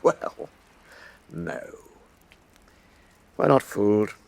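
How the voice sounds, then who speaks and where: An actor playing a contemptuous, moralising state inquisitor in a historical radio drama, with a haughty, rhetorical manner. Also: male voice, fairly low pitch, very animated, very slow, vocal fry, a little mellow, full character voice. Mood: scornful.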